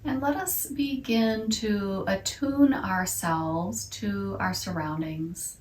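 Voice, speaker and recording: A woman leads a meditation in a slow, unhurried voice, soft and soothing, and at times she drops into a whisper. She sounds set back from the mic, and her voice rings in an echoey room. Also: medium pitch, recorded from a distance. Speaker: yoga and meditation teacher. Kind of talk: guided meditation.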